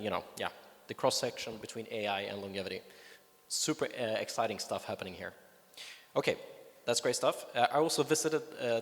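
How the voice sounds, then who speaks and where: A man with a Swedish accent, a native Swedish speaker, giving a conference talk with enthusiasm and lots of fillers and restarts. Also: mid-range voice. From Sweden. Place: conference hall. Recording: reverberant hall.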